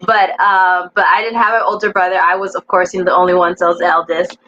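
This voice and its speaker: A woman's voice: on the low side, level tone, quick pace, bright, forceful tone. A woman from the East Coast of the United States, a cybersecurity educator, telling her story earnestly as an interview guest. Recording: slightly dull sound with faint noise.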